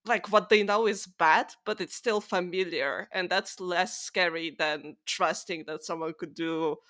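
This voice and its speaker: A woman's voice: on the low side, steady tone, unhurried, a bit bright. A woman co-hosting a podcast, chatting casually about books in an informal, sweary way full of "like". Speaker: podcast co-host. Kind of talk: casual book discussion chat.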